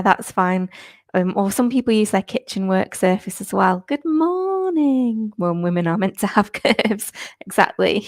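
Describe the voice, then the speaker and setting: A woman, a fitness instructor, chatting upbeat and slightly croaky on a live stream, laughing often. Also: on the high side, dry recording, studio quality. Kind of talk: live-stream chat with viewers. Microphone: new clip-on microphone.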